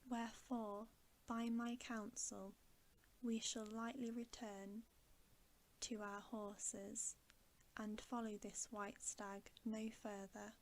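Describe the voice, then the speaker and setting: A woman reading a hushed, gracious young queen. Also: fairly high pitch, level tone, rough recording. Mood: cautious. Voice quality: soft, hushed. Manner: courtly, measured, archaic phrasing.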